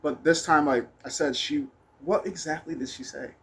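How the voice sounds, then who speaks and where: A man's voice: a little high, normal speed, a bit bright. A man telling personal stories in a casual, rambling monologue, blunt and candid, with some swearing. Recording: noticeable background noise.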